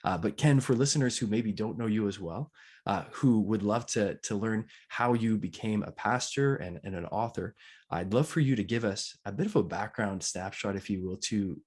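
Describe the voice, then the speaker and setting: A man's voice: lowish voice, a little mellow, breathy edge. A man hosting a faith podcast, talking in an earnest, friendly way with fillers and restarts. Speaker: podcast host. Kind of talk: casual interview.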